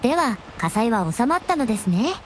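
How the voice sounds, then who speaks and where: A gentle young dragon princess with a high voice, played by a woman in a radio drama. She speaks in refined, devoted, very courteous phrases. Some noise sits behind the voice. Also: sing-song, a little fast. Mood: relieved, gentle. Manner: refined, deferential, ladylike honorific speech. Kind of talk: Japanese radio drama acting.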